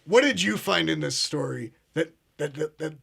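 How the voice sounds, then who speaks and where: A man playing a gravelly paranoid talk show host. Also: fairly high pitch, expressive, moderate pace, full character voice. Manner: grandiose, ominous, addresses "ladies and gentlemen". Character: pompous paranoid male conspiracy show host. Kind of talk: comedic mock talk show.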